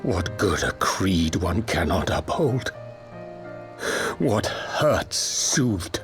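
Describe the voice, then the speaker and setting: Slow, grave male scholar in fantasy game. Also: deep voice, very animated, soft background music, full character voice. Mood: anguished, resolute. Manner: formal, measured, grave archaic diction. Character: solemn, sorrowful male scholar torn by duty. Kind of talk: theatrical archaic fantasy game dialogue.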